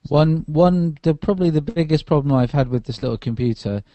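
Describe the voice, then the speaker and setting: A man's voice: on the high side, brisk, dry recording, highs cut off. A tired man, a podcast co-host, chatting casually about tech while lying on a sofa.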